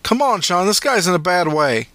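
A man's voice: sits high, big pitch swings, moderate pace, fairly bright tone, dry recording, pitched above the performer's own voice. A man doing an urgent, worried paramedic. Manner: urgent, calls the patient buddy. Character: worried male paramedic, urgent but comforting.

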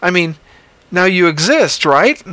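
A man putting on the voice of a frightened young man who pleads desperately, pitched high and strained. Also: very animated, measured pace, pushed and bright, no room sound, heavily acted. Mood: pleading.

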